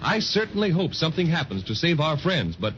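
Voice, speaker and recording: A man's voice: on the high side, very animated, a little fast, fairly bright tone. A man narrating a 1930s children's radio serial in a folksy, confiding way, on a muffled old recording. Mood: concerned. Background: music.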